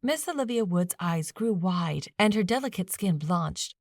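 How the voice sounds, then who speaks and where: A woman's voice: lowish voice, expressive, unhurried, no echo, clean studio sound. A woman reading a fiction audiobook as a scripted narration.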